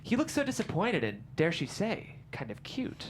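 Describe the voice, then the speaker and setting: A low-voiced woman narrates a script at a table read, reading out stage directions. Her delivery is lively in pitch, with clear noise behind her. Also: moderate pace. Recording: noticeable background noise.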